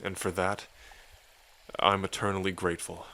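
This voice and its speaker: A man's voice: deep voice, level tone, moderate pace, vocal fry, sharp tone, full character voice. A man playing an affectionate dragon king in an intimate ASMR roleplay, speaking in a casual, tender way to a lover. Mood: grateful, earnest. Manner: tender, casual, hesitant when moved.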